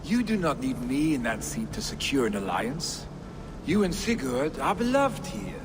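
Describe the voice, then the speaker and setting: An actor playing a brash, carefree young Viking warrior in a video game cutscene, boastful and defiant. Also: male voice, a little high, sing-song, normal speed. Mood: evasive. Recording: noisy game ambience behind dialogue.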